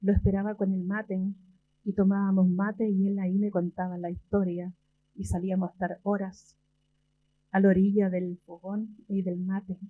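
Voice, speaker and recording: A woman poet from Chile, talking about her father and then reading her poems in a slow, measured way with long pauses, at a library event. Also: mid-range voice, little pitch movement, phone-quality sound. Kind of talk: slow poetry reading and talk.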